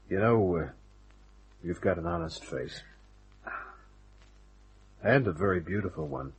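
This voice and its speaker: An actor playing a tough, cynical private detective in an old-time radio drama, talking in a wry, casual, laconic way with a rough, husky voice. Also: male voice, sits low, animated, slow, pauses often, full character voice, low-quality recording. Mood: reassuring, casual. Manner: wry, casual, hard-boiled.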